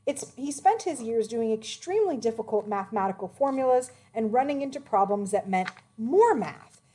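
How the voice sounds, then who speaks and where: A woman reading a children's biography aloud as a narrator, with expressive, emphatic phrasing. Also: on the high side, normal speed, a little hiss or hum.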